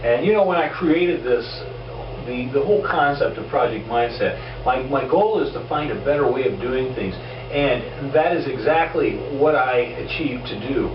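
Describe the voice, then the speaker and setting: Hoarse man giving a motivational talk. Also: mid-range voice, animated, normal speed. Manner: earnest, repeats words and restarts often. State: losing his voice, hoarse. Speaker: host of a self-improvement video show. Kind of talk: casual motivational monologue. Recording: roomy, distant, dull and noisy.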